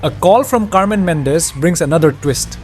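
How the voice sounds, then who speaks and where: A male narrator delivers a scripted video game story recap. His voice sits a bit higher than average, and soft music plays behind him. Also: lively intonation, normal speed.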